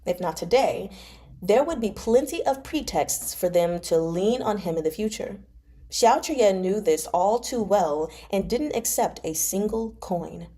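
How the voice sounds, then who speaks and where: A woman's voice: mid-range voice, expressive, a little slow, warm tone. A woman hosting a read-along, narrating a novel expressively and adding casual, chatty commentary. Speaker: host of a live read-along.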